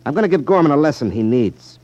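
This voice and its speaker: An American actor playing a cocky, protective brother who talks smooth, sing-song patter in 1950s radio drama style. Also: male voice, a little high, animated, fast, warm tone, dull audio, lightly acted. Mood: cool, firm. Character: cocky male dice shooter, protective brother, coolly taunting.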